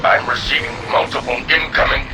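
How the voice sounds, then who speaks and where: A man's voice: mid-range voice, steady tone, a little fast, heavy background noise, lightly acted. A man playing a dutiful alien military scout in a video game, giving clipped reports. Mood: tense reporting. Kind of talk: scripted game cutscene acting.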